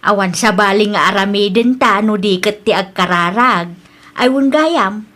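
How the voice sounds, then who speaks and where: A woman with a Filipino accent playing a gentle, worried, prayerful elderly mother in an audio drama. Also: medium pitch, normal speed, lightly acted.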